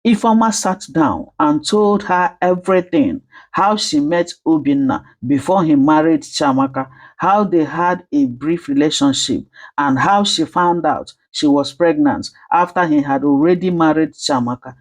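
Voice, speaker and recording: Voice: mid-range voice, measured pace. A storyteller reading a dramatic scripted folktale narration.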